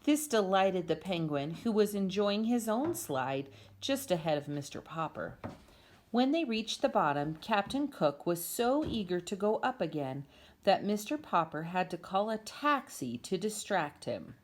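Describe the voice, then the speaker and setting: A woman's voice: medium pitch, very animated, unhurried. A woman reading a children's storybook aloud as narrator, in an amateur home recording. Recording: clear background noise, amateur home video.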